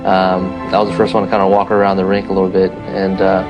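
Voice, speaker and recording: A man's voice: deep voice, completely flat delivery, fast talker, muffled recording, over music. A man recalling teaching a child to skate, hesitant and full of fillers. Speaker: parent and first coach. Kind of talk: casual recollection.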